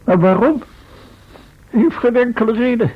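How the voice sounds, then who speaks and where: A man's voice: very high-pitched, normal speed, breathy edge, highs cut off. A man acting an exasperated, schoolmasterly professor who leads an expedition in an old radio drama. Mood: stern, exasperated. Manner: indignant, schoolmasterly. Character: exasperated male professor leading a time-travel expedition.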